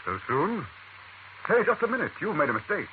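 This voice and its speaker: An actor playing an earnest, determined gentleman sleuth in an old radio drama, urgent and resolute. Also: high-pitched, big pitch swings, a little fast, phone-quality sound. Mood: indignant. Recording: noisy, thin old radio recording.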